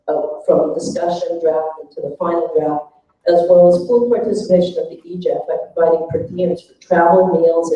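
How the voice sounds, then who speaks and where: A woman presenting her group's notes at a workshop, speaking haltingly as the group's spokesperson. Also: fairly low pitch, dark tone. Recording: dull, very echoey room, distant mic.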